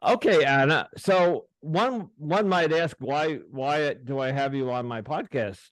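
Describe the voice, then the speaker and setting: Halting male podcast host, dry sound. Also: fairly high pitch, no echo. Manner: halting, many restarts and fillers. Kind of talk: casual interview, personal disclosure.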